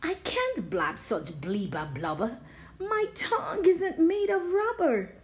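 Storybook creature, male, fed up and whining, pitched high, pleading in big swoops played by a woman. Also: sing-song, slow, exaggerated voice. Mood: exasperated, indignant. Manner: protesting, pleading. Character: exasperated, whining male creature worn out by tongue-twisters.

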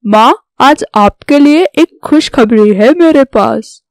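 An earnest young would-be soldier from a cartoon story , voiced by a woman. The voice sits fairly high and sincere, declaring devotion plainly in a very dry recording. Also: animated, slow pace, dry recording. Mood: eager. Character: earnest, patriotic young man becoming a soldier. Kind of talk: scripted Hindi cartoon story dialogue.

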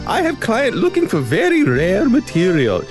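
A man's voice: wildly swooping pitch, moderate pace, faint music behind. A man voicing a Sicilian businessman who writes in broken English, with a put-on Italian accent. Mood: comic. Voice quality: high, sing-song, broken English.

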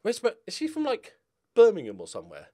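A man in his forties chatting casually and humorously to viewers as a channel host, animated and jokey. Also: high-pitched, fast. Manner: animated, jokey, sweary, chuckling. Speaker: YouTube gaming channel host. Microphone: desk condenser microphone up close.

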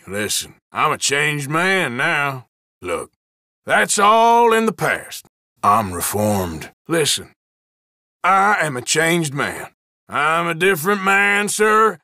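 A defiant Old West outlaw from a video game. He speaks in an unhurried American Western drawl, surly and evasive, with an edgy, forceful tone and pitch that swings widely. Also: no echo, clean studio sound, full character voice. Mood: contrite. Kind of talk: video game character voice lines.

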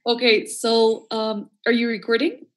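A woman's voice: fairly high pitch, flat delivery, measured pace, slight background noise. A woman from Colombia with a Colombian accent, a native Spanish speaker, casually explaining as a workshop participant. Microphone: video call.

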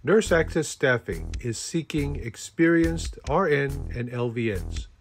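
A man's voice: medium pitch, sing-song, unhurried, gravelly, a little hiss or hum. A man announcing a staffing ad in a scripted commercial read. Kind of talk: radio-style ad read.

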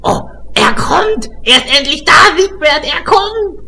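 A man playing an excitable, childish, smug private detective in an amateur comedy audio drama, gushing and theatrical. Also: very high-pitched, a little slow, slight background noise, heavily acted. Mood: excited, nervous.

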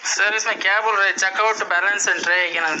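Doubtful high-voiced man on a phone. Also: steady tone, fast, pushed and bright. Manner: questioning, doubtful. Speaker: customer on the call. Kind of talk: phone call. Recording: muffled phone call recording with hiss.

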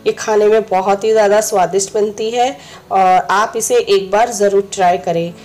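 A woman with a slightly hoarse throat, a home cooking host, calmly explaining each step of a recipe in her kitchen. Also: mid-range voice, moderate pace. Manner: instructive, friendly, repeats steps.

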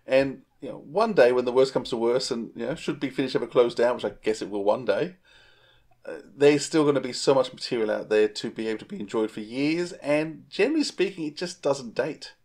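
Man, middle-aged, Australian accent, medium pitch, casual podcast chat, indoor video call. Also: brisk, a little mellow. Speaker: podcast co-host.

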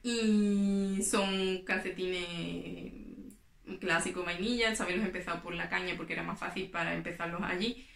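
A woman's voice: lowish voice, fairly even intonation, normal speed, vocal fry, fairly bright tone, echoey room. A young woman from Spain chatting casually to camera as a knitting vlogger, explaining as she handles her work. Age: young adult. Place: small room in front of yarn shelves. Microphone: camera in front, at table distance.

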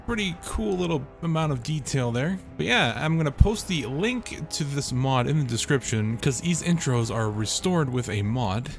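A man's voice: mid-range voice, expressive, normal speed, creaky, hardly any pauses. Casual male commentator with faint music. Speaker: video host and commentator.

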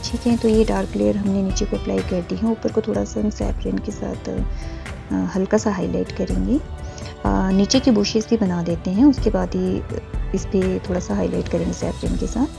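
A woman giving a calm, instructive voice-over for a step-by-step painting tutorial, pausing often with fillers. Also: mid-range voice, vocal fry, over music. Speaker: art teacher. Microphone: voice-over, camera on hands and canvas.

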